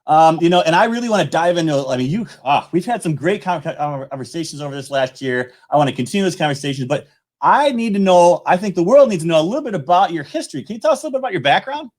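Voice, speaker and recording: A man's voice: a little high, lively intonation, fast. A man hosting an online interview in a casual way, stumbling and repeating words as he introduces a guest and asks questions. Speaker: interview host.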